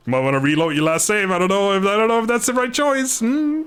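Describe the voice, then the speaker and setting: A man's voice: sits high, quick pace, a bit bright, clean tone. A man doing casual live game commentary, chatting informally with viewers, joking and swearing mildly. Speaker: game streamer.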